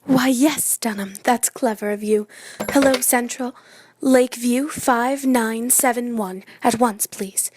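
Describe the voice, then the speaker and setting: A woman playing an excitable, imperious New York society hostess and mother in a radio play, chattering and dramatic. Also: fairly high pitch, unhurried. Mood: agitated, urgent.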